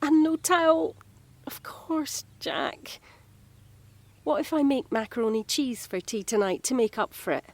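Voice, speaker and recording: An actress playing a friendly, chatty, gently teasing Scottish home carer in an audio drama. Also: female voice, high-pitched, lively intonation, measured pace, dry recording, exaggerated voice. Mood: apologetic, soothing.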